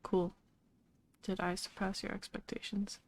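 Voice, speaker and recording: A woman giving casual, dry, deadpan commentary as she streams a video game. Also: mid-range voice, measured pace, creaky, a little hiss or hum. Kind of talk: casual commentary over gameplay. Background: game music.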